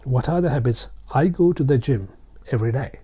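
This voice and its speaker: A Sri Lankan man teaching English in a relaxed, talky way, speaking to learners directly and encouraging them. His voice is deeply dark and mellow, at an ordinary pitch for a man. He restates his points over and over and fills pauses with "uh" and "um". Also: husky. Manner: repeats and restates phrases, frequent fillers. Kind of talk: casual spoken lesson.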